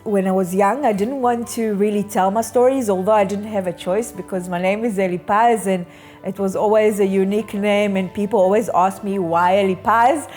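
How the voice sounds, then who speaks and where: A woman's voice: mid-range voice, fairly even intonation, normal speed, a little mellow. Reflective woman with music underneath. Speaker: interviewee, bereaved daughter. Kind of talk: personal documentary interview.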